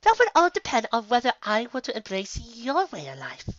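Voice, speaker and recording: A man's voice: very high-pitched, wildly swooping pitch, brisk, fairly bright tone, dry recording, lo-fi sound, pitched above the performer's own voice, full character voice. A man voicing a refined, coy female unicorn in a genteel, affected manner for a story. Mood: coy, taunting.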